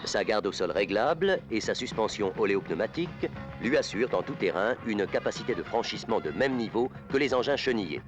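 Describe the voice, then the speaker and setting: A man delivers formal instructional film narration. His voice sits fairly low and moves with very lively intonation. He reads in a measured, declarative documentary style, with music clearly playing behind him.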